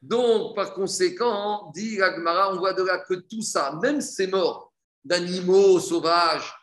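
A man's voice: sits high, unhurried, a bit bright. A man giving an explanatory religious text lesson as a teacher, reading and translating in a didactic way, repeating phrases and answering his own questions. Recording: echoey room.

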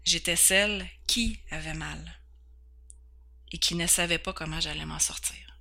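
Woman, 40s, Québécois accent; podcast host, earnest and candid, slight stumbles, crisp bright tone. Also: on the low side, fairly even intonation, unhurried. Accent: Québécois French. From Quebec, Canada. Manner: earnest, candid, occasionally stumbling over words. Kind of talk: personal introduction.

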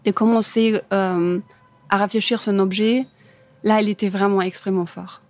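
A woman's voice: medium pitch, fairly even intonation, moderate pace. A woman from Germany with a German accent, a native German speaker answering interview questions casually in imperfect French, often searching for words. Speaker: interview guest, furniture designer. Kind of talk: casual interview answers about own career.

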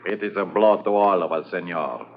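A man's voice: sits low, normal speed, lightly acted. A man playing a formal, courteous Spanish drug-ring henchman in a 1950s radio drama, heard on a muffled, hissy transcription. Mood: regretful.

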